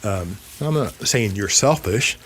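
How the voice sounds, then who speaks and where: Man in his 50s on a podcast, lowish voice, leans hard on points and says them twice. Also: animated, unhurried, a bit bright, breathy edge. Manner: emphatic, repeats phrases for effect. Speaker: podcast host. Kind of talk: casual podcast conversation.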